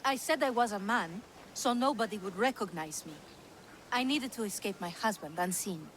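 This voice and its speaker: A woman plays a weary, guarded runaway wife in a video game cutscene. Her mid-pitched voice is composed and earnest. There is faint ambient noise behind it. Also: lively intonation, normal speed, natural voice, low-quality recording. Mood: weary, serious.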